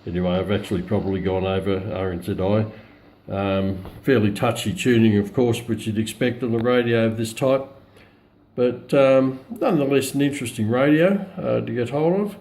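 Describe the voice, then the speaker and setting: An Australian man casually walking through a product in a hesitant, low-key, unscripted way. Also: normal speed, a little mellow, a little hiss or hum, rough recording. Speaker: radio hobbyist presenting a demonstration. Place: indoors at home, radio on a countertop.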